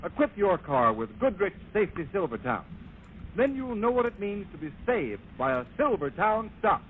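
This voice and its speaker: High-pitched, animated old-time radio announcer man. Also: normal speed. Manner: declamatory, formal. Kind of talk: 1930s radio announcing, scripted advertising and narration. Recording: noisy, tinny 1930s radio recording.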